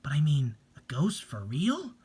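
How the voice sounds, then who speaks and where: A man's voice: on the high side, wildly swooping pitch, measured pace, warm tone, breathy edge, full character voice. A man voicing an anxious, curious teenage schoolgirl who is easily startled and hesitant, in a story reading. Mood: nervous disbelief.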